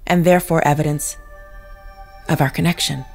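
A woman playing a formal, controlled, android-like woman in an audio drama, speaking in a stilted, measured manner. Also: low voice, fairly even intonation, gravelly, darkish tone, faint music behind. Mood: suspicious, formal.

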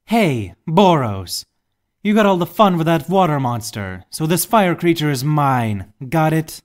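A woman playing a cocky, sharp-tongued psychic superheroine with a brash, assertive manner in a fan audio drama. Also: low-pitched, very animated, measured pace.

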